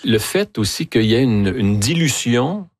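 A man's voice: medium pitch, expressive, unhurried, no echo, studio quality. A man with a Québécois accent, a guest in a casual conversation who explains his opinions thoughtfully and at length.

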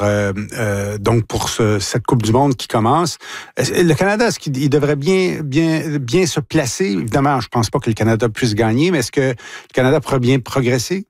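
A man's voice: mid-range voice, moderate pace, no room sound, clean studio sound. A man with a Québécois French accent hosting a radio interview, asking long, rambling questions. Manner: asks long questions with restarts. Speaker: radio host.

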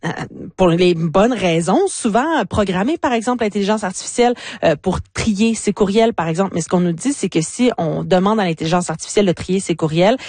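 A woman columnist speaks with a Canadian French accent in a very dry recording. She reviews the news conversationally, explaining as she goes and repeating points for emphasis. Her pitch sits in the middle for a woman. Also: animated, a little fast, darkish tone, no echo. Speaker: radio press review columnist. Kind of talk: live conversational news commentary.

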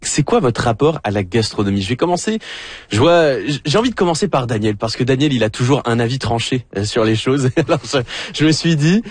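French-accented male radio host, husky, chatty and hesitant. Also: mid-range voice, lively intonation, brisk, dry recording. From France. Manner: hesitant, with frequent fillers and restarts. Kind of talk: casual radio talk. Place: radio studio.

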